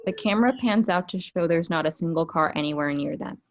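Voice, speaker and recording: A woman's voice: fairly low pitch, brisk, highs cut off. A woman narrating a script's stage directions at a table read.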